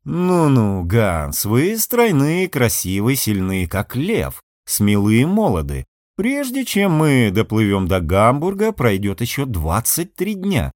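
A man's voice: medium pitch, wildly swooping pitch, slow, few pauses, darkish tone, no room sound, studio quality. A man voicing a portly older ship's captain in an audiobook, jovial and scheming, speaking with mock-formal emphasis. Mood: jovial, insistent.

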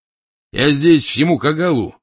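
Man doing a surly, dry, husky copywriter. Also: on the high side, lively intonation, unhurried, dry recording, pitched above the performer's own voice. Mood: boastful, sardonic. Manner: dry, laconic. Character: surly, dry male copywriter, unsurprised loner.

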